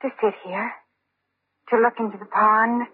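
A woman's voice: a little high, flat delivery, unhurried, natural voice. An actress playing a haunted, wistful, unhinged lonely woman in old-time radio drama style. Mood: wistful, distant. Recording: noisy, narrow-band old radio recording.

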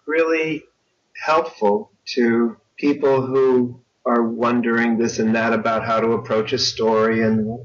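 An older man talks in a reflective interview style at a slow pace. His phrasing is articulate and measured. The sound is dull and sits away from the mic. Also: medium pitch, little pitch movement, distant mic. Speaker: interview guest, audiobook narrator. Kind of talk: conversational interview.

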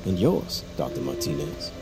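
An actor playing a menacing, bitter middle-aged father and military lieutenant in an audio drama, taunting and deliberate. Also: rumbling low, very animated, vocal fry, over loud music, heavily acted, low-quality recording. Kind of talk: audio fiction drama acting.

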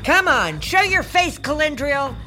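A woman's voice: high voice, very animated, a little slow, sharp tone, faint music behind, full character voice. A woman playing a menacing, unhinged aunt in an audio drama, coaxing with false sweetness that turns threatening. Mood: wheedling, sinister. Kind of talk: audio fiction acting for children.

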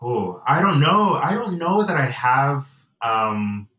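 Roomy, bright-voiced man. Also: medium pitch, big pitch swings, slow, far from the mic. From United States and Nigeria. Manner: reflective, hesitant, many fillers. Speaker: guest. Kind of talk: casual interview. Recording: roomy and off-mic.

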